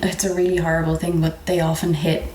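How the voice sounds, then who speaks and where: A woman's voice: sits low, little pitch movement, fast, a little mellow. A young woman with an Irish accent telling stories casually, acting out what people said as she goes. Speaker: comedian guest telling retail stories. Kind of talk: casual conversational storytelling. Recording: roomy echo, faint background noise.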